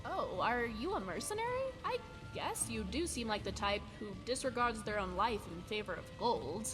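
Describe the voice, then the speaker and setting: A woman's voice: on the high side, very animated, moderate pace. A woman playing a scatterbrained, bookish young female scholar in a video game, haughty and easily distracted, with hesitant asides. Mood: excited, bargaining. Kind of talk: video game companion dialogue. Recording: game music behind the voice.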